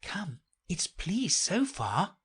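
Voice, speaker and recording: A woman voicing a polite, puzzled little girl in a storybook, softly and tentatively. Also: lowish voice, very animated, very slow, husky, pitched above the performer's own voice, full character voice. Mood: timid, polite.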